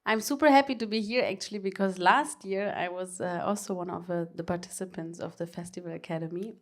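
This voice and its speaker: A woman's voice: lowish voice, a little fast. A young woman from Germany speaking English with a German accent as a panel speaker, hesitant and full of fillers and restarts. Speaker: panel speaker, arts project co-manager. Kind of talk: panel talk.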